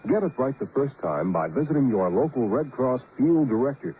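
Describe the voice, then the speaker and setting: Animated male announcer on tinny radio. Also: medium pitch, fairly quick. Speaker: public service announcer. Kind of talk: scripted radio public service announcement. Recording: narrow tinny radio recording, faint noise.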